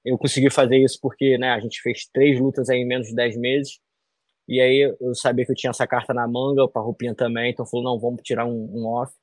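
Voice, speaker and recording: A man's voice: medium pitch, little pitch movement, fast, a little mellow, no room sound. A man in his thirties answering interview questions over a video call from a small room, talking loosely with many fillers and tag questions. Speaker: fighter interviewee.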